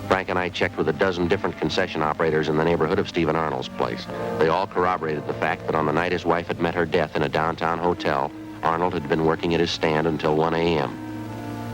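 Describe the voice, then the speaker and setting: A man's voice: sits low, quick pace, lightly acted, low-quality recording. An actor playing a terse male homicide detective who narrates the case in an old radio drama. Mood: narrating. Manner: flat, clipped, matter-of-fact. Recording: old radio recording with music.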